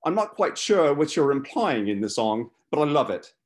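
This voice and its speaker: Man acting young bassist, storybook character, cheeky and bossy, raised pitch, swooping delivery. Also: sing-song, normal speed. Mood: excited. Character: brash, chatty young British male bass player.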